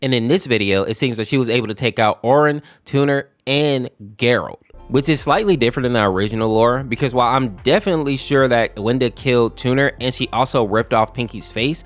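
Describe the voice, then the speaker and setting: A man's voice: medium pitch, brisk, hardly any pauses. A man narrating an explainer commentary in an informal, conversational way. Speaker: video narrator explaining horror lore. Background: music bed.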